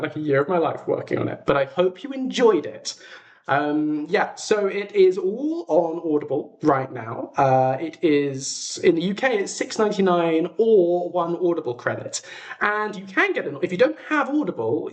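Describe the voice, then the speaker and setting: A man with a runny nose hosting a casual livestream, talking in a chatty, self-deprecating way. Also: fairly high pitch. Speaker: livestream host and audio drama maker.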